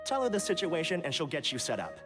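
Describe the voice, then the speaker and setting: A man's voice: a little high, very animated, fast, music in the background. A man playing a polite, earnest academy official in an anime video game, speaking formally and courteously.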